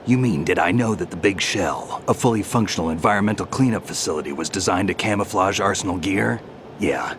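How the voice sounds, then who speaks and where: A man playing a grizzled, world-weary veteran soldier in a video game, terse and deadpan with a gravelly voice. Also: deep voice, noisy background. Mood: terse, dry. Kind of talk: dramatic video game voice acting.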